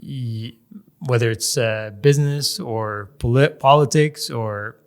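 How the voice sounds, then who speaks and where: A man from Canada, a guest in a casual interview, talking in a measured way and often pausing to search for words. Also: mid-range voice, smooth. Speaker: podcast guest, real estate developer.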